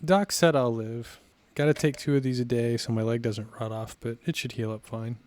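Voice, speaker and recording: A man playing a stoic, reassuring injured young fugitive in an audio drama, calm and understated. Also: medium pitch, normal speed, no room sound, natural voice.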